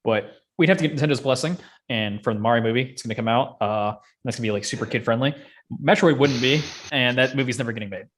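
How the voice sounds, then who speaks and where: A man's voice: mid-range voice, fast, fairly bright tone. Male podcast host, mid-range voice, slangy and opinionated, loose rambling conversational delivery. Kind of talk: casual chat about games and films.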